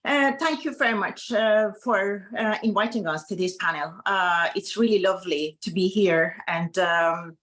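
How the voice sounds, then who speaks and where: Give me a woman doctor, Farsi her first language, on a video call. She talks at a mid pitch and explains in halting, self-correcting English. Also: fairly bright tone. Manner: halting non-native English, frequent restarts. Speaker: medical doctor on a panel. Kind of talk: online panel presentation.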